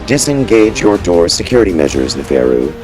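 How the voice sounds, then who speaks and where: A man voicing a calm, gentle, emotionless palace computer that gives deadly orders in a visual novel drama. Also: low voice, a little fast, soft background music, voice pushed down. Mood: coldly calm. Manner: calm, gentle, impassive delivery. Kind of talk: scripted visual novel voice acting.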